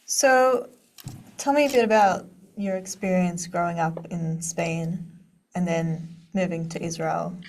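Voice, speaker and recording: A woman hosts an interview and asks soft, prompting questions. Her voice is low for a woman. She is recorded from some distance away from the microphone. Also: fairly even intonation, unhurried, slight background noise. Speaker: host, interviewer. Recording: voice from off the mic.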